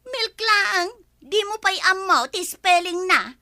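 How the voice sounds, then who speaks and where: A woman's voice: very high voice, expressive, slow pace, bright, forceful tone, full character voice. A woman playing an irritable, bossy female schoolteacher who scolds in exasperation in an Ilocano radio comedy drama. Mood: irritated, scolding.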